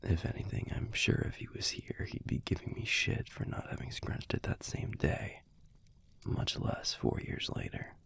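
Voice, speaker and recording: A man playing a tender, wistful werewolf boyfriend in an intimate audio roleplay, speaking in a hushed, halting, husky and breathy voice with a gravelly edge. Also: in a whisper, close to an everyday voice. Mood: wistful, subdued. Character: tender, wistful young male werewolf pack leader boyfriend.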